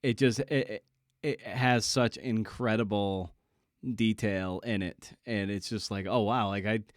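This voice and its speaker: A man's voice: fairly low pitch, steady tone, unhurried, dry recording, clean studio sound. A North American man hosting a casual podcast interview, informal and a little rambling, repeating words as he goes. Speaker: podcast host.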